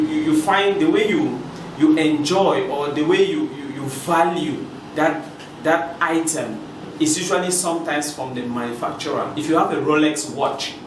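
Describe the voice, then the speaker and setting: Man from Cameroon, motivational speaker, preachy with restarts, noisy background, distant mic. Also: on the high side. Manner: preachy, repeats words, many restarts. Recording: echoey room from a distance with noise.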